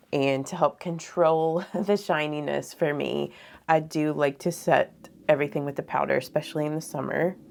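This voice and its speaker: Nasally stuffed-up woman chatting through her makeup, low voice with lots of fry. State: slightly congested, nasal. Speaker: beauty vlogger. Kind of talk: casual get-ready-with-me talk.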